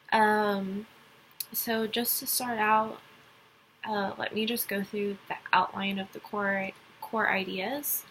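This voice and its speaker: A woman's voice: medium pitch, a bit bright, a little hiss or hum, low-quality recording. A young woman from Dallas with a light Texas accent, giving a casual class presentation and rambling through it with lots of ums and restarts. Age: young adult. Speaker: college student.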